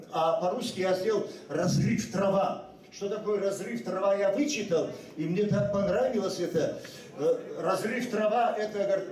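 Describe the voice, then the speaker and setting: Older man, high voice, echoey hall. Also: darkish tone, far from the mic. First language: Ossetian. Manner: halting, many hesitations and restarts. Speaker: guest speaker at a memorial ceremony. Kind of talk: unscripted ceremonial speech. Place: school hall at a public ceremony.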